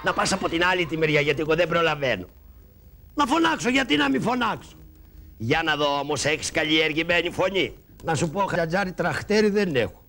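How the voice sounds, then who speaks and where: Man doing a prim fussy sidekick, bright forceful voice, lecturing, shadow-puppet play. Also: fairly high pitch, lively intonation. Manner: prim, lecturing, giving instructions. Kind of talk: Greek shadow-puppet theatre.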